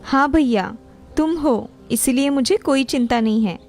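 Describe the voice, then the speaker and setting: A sweet, timid young girl from an animated story, voiced by a woman, speaking in a high, earnest way. Faint music plays underneath. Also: a little slow. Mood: sad. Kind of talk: cartoon story voice acting.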